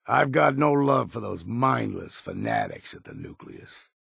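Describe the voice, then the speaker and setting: An actor playing a gruff old hard-drinking hunter and guide in a video game, with a raspy voice and folksy, laconic talk. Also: male voice, on the low side, huge pitch swings, unhurried, a bit bright, no echo, clean studio sound, heavily acted. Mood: wry, grim. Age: older. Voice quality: gravelly, raspy. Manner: folksy, dropped endings, laconic. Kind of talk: video game character acting.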